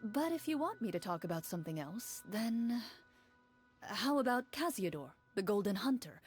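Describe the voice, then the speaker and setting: Game cast: woman as a composed swordswoman, mid-pitched and even, calmly explaining. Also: soft background music, close to an everyday voice. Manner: measured, matter-of-fact explaining. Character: calm, composed young female swordswoman and storyteller. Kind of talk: video game voice acting.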